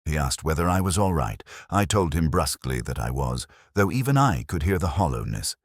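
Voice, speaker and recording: A man reading a scripted first-person detective story in a brooding, measured, literary manner. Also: very deep voice, sing-song, breathy edge, dry recording, clean studio sound. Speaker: audiobook-style narrator.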